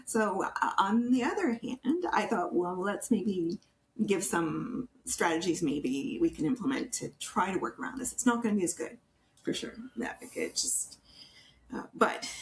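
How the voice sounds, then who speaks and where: A Canadian woman co-hosting a podcast, explaining practical tips in an informal, somewhat halting conversational way. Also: medium pitch, creaky, low-quality recording. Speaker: podcast co-host. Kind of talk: casual explanatory chat, listing tips. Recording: faint background noise.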